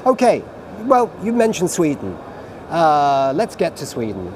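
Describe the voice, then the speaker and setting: A man's voice: on the high side, huge pitch swings, measured pace, background noise. Chatty British man moderating a panel. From Britain. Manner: chatty, asks long rambling questions. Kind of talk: online panel discussion. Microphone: video call.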